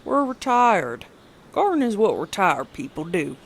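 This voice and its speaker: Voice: mid-range voice, big pitch swings, normal speed. A narrator voicing grumpy old retired men who grumble and swear. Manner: gruff, grumbling.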